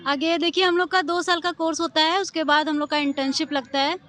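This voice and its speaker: Young graduate woman, high-pitched, earnest step-by-step explainer. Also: fairly even intonation, fairly quick, a bit bright. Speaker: gold medalist graduate. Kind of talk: interview answers.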